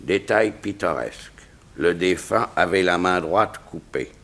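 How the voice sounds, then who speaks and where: An actor playing a grave, dignified diplomat with a formal, measured delivery in a 1960s French radio serial. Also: male voice, low-pitched, vocal fry, fairly bright tone, full character voice. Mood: reading, puzzled.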